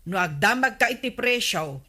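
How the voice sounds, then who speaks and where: Sharp, fretful village wife. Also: female voice, medium pitch, animated, moderate pace. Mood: fretful complaining. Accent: Filipino. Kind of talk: broadcast radio comedy drama acting.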